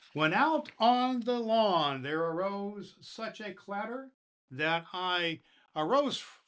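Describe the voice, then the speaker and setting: A man acting as a kindly, jolly old Father Christmas with a slightly high voice. He hosts for children in a folksy manner, leaning on his words like a storyteller with a picture book. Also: sharp tone, full character voice. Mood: storytelling. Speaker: host of a Christmas story show. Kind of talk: addressing children and reading a storybook.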